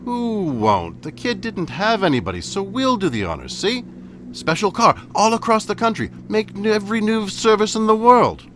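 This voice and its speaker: A man acts out a cynical, scheming studio fixer from 1940s radio drama. He talks in pushy slang, his high voice jumping around excitedly, over clearly audible background noise. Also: moderate pace. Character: brash, scheming Hollywood studio fixer, fast-talking wise guy.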